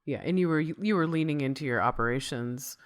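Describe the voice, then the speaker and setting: A woman hosting a podcast interview, who keeps up steady affirmations and asks follow-up questions. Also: low voice, a little fast. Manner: attentive, lots of short affirmations and follow-up questions. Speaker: podcast host. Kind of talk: interview host.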